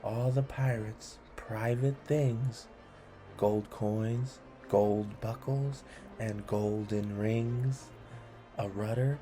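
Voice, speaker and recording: Slow, mellow man reading aloud. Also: lowish voice, fairly even intonation, soft background music. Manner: deliberate, adds encouraging asides to listeners. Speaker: read-along host. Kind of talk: expressive children's storybook read-aloud.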